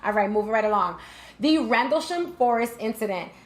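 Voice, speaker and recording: A woman's voice: a little high, animated, brisk. A woman from the United States hosting a show, talking casually and chattily, with frequent fillers and restarts, and putting rhetorical questions to her listeners. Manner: frequent fillers and self-corrections. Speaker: host. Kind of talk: casual talk-show monologue.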